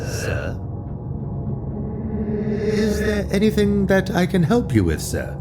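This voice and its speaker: A man's voice: sits high, wildly swooping pitch, slow pace, full character voice. A man playing a polite, bored butler of an old estate in a horror audio drama, speaking in a formal, theatrical way. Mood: formal, inquiring. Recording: music under the voice.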